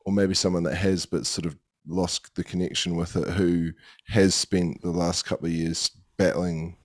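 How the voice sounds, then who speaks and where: A man hosts a podcast in a deep voice, working through long questions with thoughtful pauses. He speaks in a measured, reflective way. The recording is dry and close. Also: flat delivery, no echo. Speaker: podcast host. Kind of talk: interview questions.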